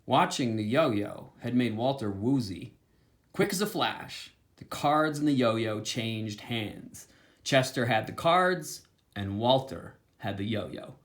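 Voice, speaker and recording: A pastor reading a children's book aloud, with expressive storytelling. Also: male voice, mid-range voice, slow.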